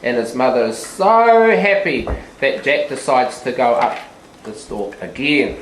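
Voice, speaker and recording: A teacher retelling a fairy tale simply and deliberately for young children, with asides to them, in a classroom. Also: medium pitch, big pitch swings. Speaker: teacher narrating a children's puppet show. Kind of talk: live storytelling with asides to the children. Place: school classroom. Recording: echoey room, background noise.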